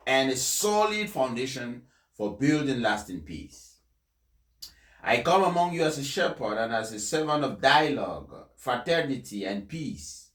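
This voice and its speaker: A man who speaks as a presenter reading aloud to followers, in a deliberate, emphatic and formal way. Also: mid-range voice. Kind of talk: formal read-aloud address with informal introduction. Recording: echoey, reverberant room.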